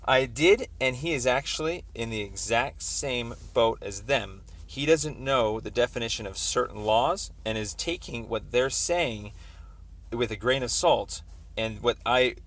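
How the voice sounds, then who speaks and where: A man on a recorded phone call explaining a complaint as a caller, in a halting, wordy, roundabout way. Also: mid-range voice, animated, fairly bright tone. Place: telephone call.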